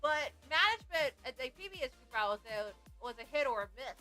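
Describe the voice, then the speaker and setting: Bubbly high-voiced young woman streamer. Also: animated, fairly quick, pushed and bright. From Hawaii. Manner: bubbly, rambling, many fillers, squealing greetings. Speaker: VTuber streamer. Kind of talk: casual livestream chat. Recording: faint music behind. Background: faint background music.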